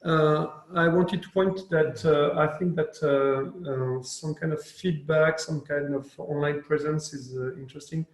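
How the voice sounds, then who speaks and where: A developer with a cold on a video call, speaking haltingly with many pauses and fillers. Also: male voice, fairly high pitch, steady tone, reverberant, poor audio. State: has a cold. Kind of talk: casual meeting discussion.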